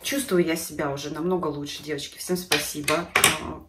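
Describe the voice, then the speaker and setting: A woman's voice: deep voice, steady tone, fairly quick, echoey room, distant mic, heavy background noise. A woman in her thirties with a stuffy nose from a cold, chatting casually to camera about beauty products at home. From Russia. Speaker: beauty vlogger. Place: living room at home.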